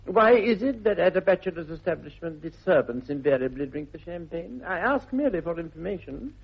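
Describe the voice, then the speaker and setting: An actor playing a witty, self-indulgent young Victorian gentleman with an upper-class English accent, arch and languid, in 1960s British radio comedy style. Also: a little high, lively intonation, a little fast, gravelly, breathy edge, lo-fi sound, exaggerated voice. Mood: breezy, arch. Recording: thin, band-limited old radio recording.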